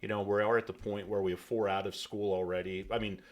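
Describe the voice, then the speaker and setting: A middle-aged man from Pennsylvania, a podcast guest talking in a friendly, rambling way with many pauses. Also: fairly low pitch, little pitch movement. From Pennsylvania, USA. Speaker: guest, hotel owner. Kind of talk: casual interview talk. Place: podcast studio.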